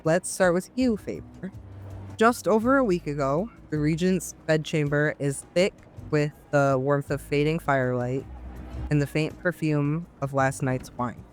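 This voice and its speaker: A man running a tabletop game as game master, narrating the setting in a dramatic, measured way. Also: on the high side, faint music behind.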